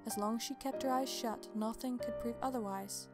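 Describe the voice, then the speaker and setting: Woman narrator, high-pitched, dramatic bedtime storytelling, clear music bed. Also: steady tone, moderate pace.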